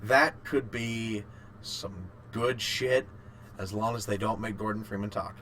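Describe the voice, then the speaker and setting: A man's voice: fairly low pitch, fairly even intonation, a little slow, a bit bright, slight background noise. A man co-hosting a casual podcast chat about games and films, informal and a little sweary. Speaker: podcast co-host.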